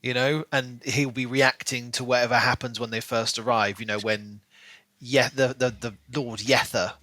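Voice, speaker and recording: A man's voice: mid-range voice, steady tone, normal speed, sharp tone, no room sound. A man co-hosting a podcast, chattily discussing a book and peppering his speech with "like" and "you know". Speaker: podcast co-host.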